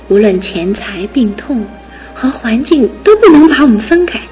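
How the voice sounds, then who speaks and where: An actress in a radio drama playing a devoted young fiancée who speaks earnestly and pleadingly, with steady resolve. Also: female voice, on the high side, lightly acted. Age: young adult. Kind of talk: broadcast radio drama acting.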